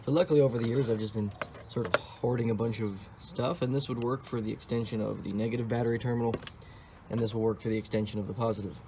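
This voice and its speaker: A young man talking casually while he works over a cheap car, thinking out loud and tossing in sarcastic jokes. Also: medium pitch, steady tone, quick pace, noisy background. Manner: wisecracking, sarcastic asides; offhand, thinks aloud while working on a car. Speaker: car vlogger. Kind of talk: casual vlog commentary.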